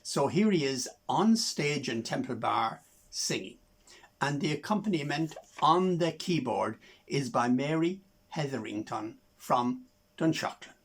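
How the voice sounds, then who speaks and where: An older man with an Irish accent, reading poetry and introducing performers in a measured, deliberate way. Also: medium pitch, lively intonation, slightly rough. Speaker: host introducing songs and reading his own poem.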